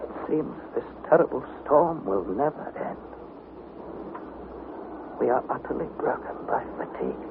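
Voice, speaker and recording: A man's voice: mid-range voice, close to an everyday voice. An actor playing an anxious young male adventurer, narrating his expedition journal in an earnest, intense, literary style for a radio drama. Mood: weary, grim. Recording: noisy, narrow-band vintage radio recording.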